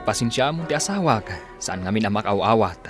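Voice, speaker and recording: A man's voice: on the low side, lively intonation, brisk, music in the background, close to an everyday voice. A man with an Ilocano accent playing a kind, patient village husband in a radio comedy drama. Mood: kindly.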